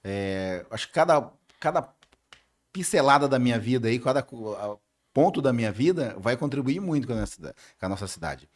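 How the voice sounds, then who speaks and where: A man's voice: mid-range voice, expressive, fairly quick. A Brazilian man answering questions in a conversational way, as a political candidate being interviewed.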